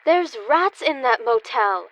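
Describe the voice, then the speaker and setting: An actress playing a firm, no-nonsense wife in a domestic comedy radio play. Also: female voice, sits high, expressive, slow, a bit bright, full character voice, low-quality recording. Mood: stern. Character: firm, no-nonsense wife, stern with her husband.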